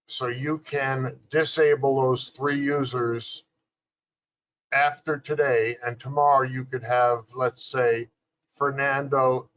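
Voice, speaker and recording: A man on a video call giving measured technical explanations, with frequent fillers. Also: medium pitch, fairly bright tone. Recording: dull lo-fi video call audio.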